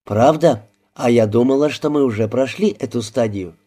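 Male reader doing a composed, politely teasing young woman in a storybook; mellow, dry irony. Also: medium pitch, normal speed, natural voice. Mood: poised.

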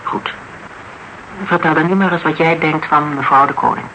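A sceptical questioner in a radio crime drama, speaking at a middling pitch. The delivery is terse, dry and probing. There is clear noise on the old recording. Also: steady tone, moderate pace, pushed and bright, rough recording. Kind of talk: Dutch radio crime drama acting, conversational.

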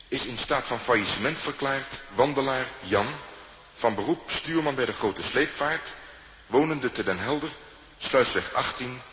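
Male actor as stern legal official, declamatory, radio drama, bright forceful voice, hall echo, faint hiss. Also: medium pitch, close to an everyday voice. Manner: formal, declamatory. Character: stern court-appointed official proclaiming a bankruptcy verdict. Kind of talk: formal announcement in radio drama.

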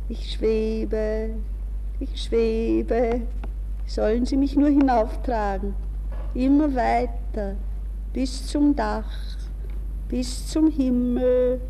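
An actress playing a distraught young woman in a hushed, dreamy, intense inner monologue in a 1960s radio play. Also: a little high, gravelly, full character voice. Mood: drifting, dreamy. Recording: reverberant 1960s radio recording with hiss.

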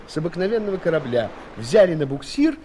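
An actor playing a wry storyteller, narrating a fairy tale with theatrical, expressive delivery and dramatic pauses. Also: male voice, fairly high pitch, slight background noise. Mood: storytelling. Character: wry male storyteller addressing young friends. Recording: 1970s studio audio play, music behind.

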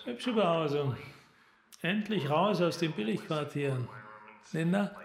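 An actor in a German radio crime drama playing a tough, cynical police detective, blunt, terse and bullying. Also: male voice, a little high, expressive, measured pace, creaky. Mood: probing. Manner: blunt, terse, hard-boiled.

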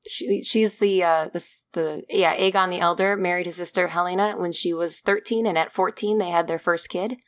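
A woman's voice: on the low side, fairly even intonation, normal speed, hardly any pauses. A woman co-hosting a podcast, talking casually about a fantasy book series, laughing often and trailing off mid-sentence. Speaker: podcast co-host.

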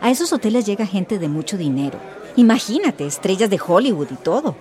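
An actress playing a chatty woman recruiter in a radio soap, persuasive and smoothly reassuring. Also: mid-range voice, big pitch swings, brisk, warm tone, a faint crowd, close to an everyday voice.

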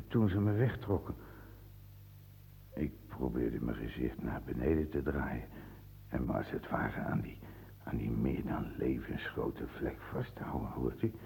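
A man's voice: dark tone, heavily acted. An actor playing a dead old drunkard in a radio play, rambling theatrically and mocking himself as he buttonholes the listener. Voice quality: very deep, gravelly. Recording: old radio recording with noise.

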